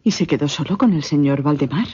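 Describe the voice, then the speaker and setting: Una actriz de radioteatro que interpreta a una joven señorita inquieta y curiosa, de voz suave y con mucho aire. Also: female voice, sits low, lively intonation, fast talker, dark tone, husky, full character voice. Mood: troubled. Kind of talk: radio drama acting. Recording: muffled, lo-fi audio.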